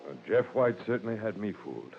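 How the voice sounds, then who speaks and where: Low-voiced, plain-spoken explorer. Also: male voice, very animated, quick pace, gravelly, faint music behind, full character voice. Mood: puzzled. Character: puzzled, plain-spoken male explorer client. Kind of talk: old-time radio drama acting. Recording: dull, noisy old radio recording.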